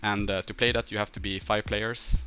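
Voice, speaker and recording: Male game streamer, Swedish accent, lowish but bright, forceful tone; off-the-cuff, halting delivery with music underneath. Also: level tone. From northern Sweden. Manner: halting, thinking aloud. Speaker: game livestreamer. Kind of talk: casual livestream chat, answering viewers' questions.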